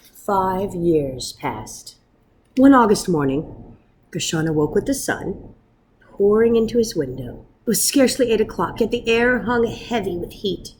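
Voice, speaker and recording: A woman reading a children's story aloud in an expressive, dramatic manner with measured pauses. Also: medium pitch, slight background noise, low-quality recording. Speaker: storybook reader.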